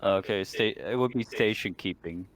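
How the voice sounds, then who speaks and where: A man's voice: lowish voice, moderate pace, low-quality recording. A man playing a tabletop roleplaying game, chatting casually and speaking in character in a laid-back, wry way.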